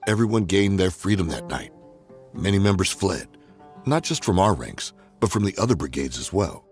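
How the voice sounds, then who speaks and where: A man playing a gruff, loyal desert brigand in a video game, solemn and aggrieved, with a raspy voice. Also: sits low, sing-song, moderate pace. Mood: earnest, aggrieved. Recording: music behind the voice. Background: game music.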